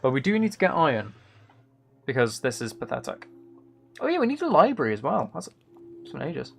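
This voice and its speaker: A man with a creaky voice chats casually as a live streamer while gaming, half talking to himself and half to his viewers. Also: a little high, wildly swooping pitch, a little fast, faint music behind. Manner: muttering to himself between replies to chat. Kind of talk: casual chat while playing a video game on a livestream. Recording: faint noise with keyboard clicks.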